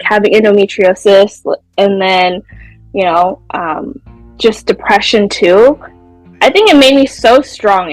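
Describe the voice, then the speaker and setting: A woman's voice: mid-range voice, no room sound, rough recording. A woman in her thirties whose first language is Mandarin, chatting openly as a podcast guest with frequent laughs and fillers. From California Bay Area, USA. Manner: warm, open, laughs often, many "like" fillers. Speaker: podcast guest, life coach. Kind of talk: casual interview chat.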